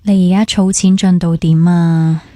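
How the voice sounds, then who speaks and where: A woman's voice: fairly low pitch, level tone, unhurried, dark tone, no echo, clean studio sound, close to an everyday voice. A woman with a Hong Kong Cantonese accent acting a chatty, carefree young friend in a radio drama, talking in a casual, familiar way. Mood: teasing, concerned. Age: young adult.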